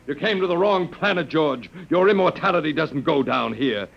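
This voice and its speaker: An actor playing a weary, wry human survivor in a classic radio drama, halting and informal, with a gravelly edge. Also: male voice, a little high, expressive, fairly bright tone, exaggerated voice. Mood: defiant.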